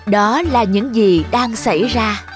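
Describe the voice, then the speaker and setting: A woman's voice: a little high, takes it slowly. A woman reading scripted children's story narration as the narrator. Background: music under the voice.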